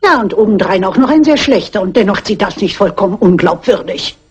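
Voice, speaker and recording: A shrewd, indomitable elderly lady sleuth in a radio crime play. The voice is strongly husky and medium in pitch, brisk and matronly in delivery. The recording sounds dull and muffled. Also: expressive. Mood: musing, determined. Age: older. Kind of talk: German radio crime drama acting. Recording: dull, muffled old audio.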